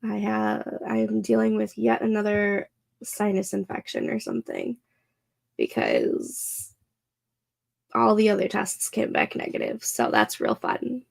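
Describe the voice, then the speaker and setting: A young woman with a stuffed-up, congested nose, chatting casually and slowly while hosting a live stream of writing sprints, with many fillers. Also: mid-range voice, wildly swooping pitch, gravelly, darkish tone. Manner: slow, rambling, lots of fillers.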